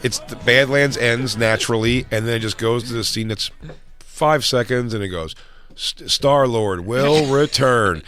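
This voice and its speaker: A man co-hosting a podcast in casual, rambling chat, swearing often and getting loud when animated. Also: medium pitch, normal speed. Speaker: podcast co-host.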